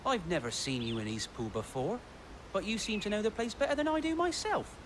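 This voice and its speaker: High-voiced young British village lad. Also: male voice, sing-song, fairly quick, fairly bright tone, a little hiss or hum. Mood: puzzled, worried. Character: worried young male village chocobo keeper, plain-spoken. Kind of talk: video game cutscene acting.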